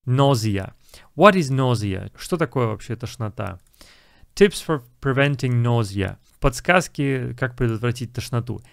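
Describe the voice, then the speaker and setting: A man's voice: mid-range voice, expressive, a little slow, gravelly, clear, resonant tone, no echo, studio quality. A young man, an English teacher, casually explaining film lines and repeating English phrases.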